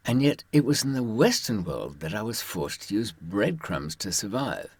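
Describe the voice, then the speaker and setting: Male raconteur, very low and rough-edged husky voice, dry close sound, wry and understated, sharing hard-won advice informally. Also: big pitch swings, normal speed, no echo. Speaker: author and former prisoner giving advice. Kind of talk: informal first-person storytelling to listeners.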